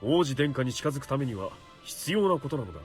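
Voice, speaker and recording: Guy playing earnest young anime knight, stiff formal talk, swingy pitch, fast, music behind. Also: sing-song, natural voice. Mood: dry, resolute. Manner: formal, archaic phrasing, muttering to himself. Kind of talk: Japanese visual novel voice acting.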